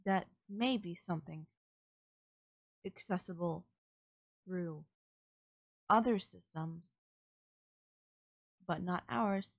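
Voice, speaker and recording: Casting: actress as female android servant for audio roleplay, polite and emotionless, lowish voice, very measured and halting, dry close sound. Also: dry recording, low-quality recording. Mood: detached, apologetic. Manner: formal, stilted, halting, literal delivery. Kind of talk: scripted audio roleplay, ASMR.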